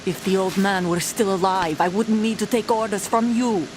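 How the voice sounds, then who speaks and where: A woman's voice: mid-range voice, flat delivery, normal speed, noisy background, natural voice. Woman acting a bitter, defiant young mercenary. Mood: bitter, threatening. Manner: curt, accusing, threatening. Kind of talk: video game cutscene acting.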